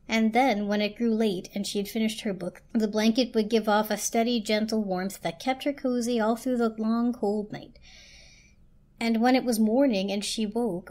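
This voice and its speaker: A woman narrates a storybook as a dramatic reading. Her voice is fairly high, and she delivers the text as a scripted tale. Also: a little mellow. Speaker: story reader.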